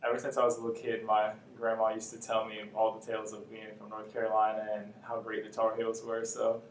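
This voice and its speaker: A man's voice: on the low side, monotone, a little fast, roomy sound, distant mic, a little hiss or hum, poor audio. A young man in his late teens, a first-year student, telling his story matter-of-factly. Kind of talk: interview answer. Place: indoor room before a campus backdrop.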